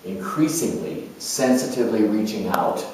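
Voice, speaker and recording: A man playing an earnest, nurturing parent turned spiritual counselor in a dramatic monologue, speaking in halting, reflective phrases. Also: fairly low pitch, a little mellow, a little hiss or hum, lightly acted. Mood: serene, devout. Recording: very echoey room, distant microphone.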